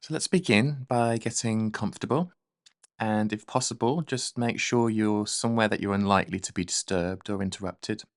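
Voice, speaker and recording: A man leading a guided meditation in a gentle, soothing, unhurried manner. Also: lowish voice, dry recording, clean studio sound.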